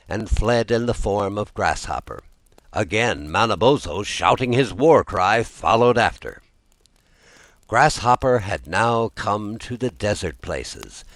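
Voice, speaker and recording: Man narrating a fairy tale with lively pitch. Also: dry recording. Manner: expressive storytelling delivery. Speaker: storyteller, audiobook narrator.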